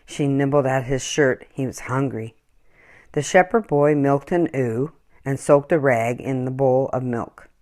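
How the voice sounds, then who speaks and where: A woman reading a children's story aloud in an expressive, acted-out storytelling style. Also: rumbling low, measured pace. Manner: animated, acts out dialogue and animal sounds. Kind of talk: expressive scripted storybook narration.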